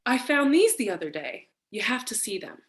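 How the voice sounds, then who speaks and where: A woman playing a frightened, flirtatious femme fatale wife in a staged noir parody, with drawn-out melodramatic delivery. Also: fairly high pitch, sing-song. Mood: earnest, urgent. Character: frightened, seductive femme fatale wife, breathy damsel in distress.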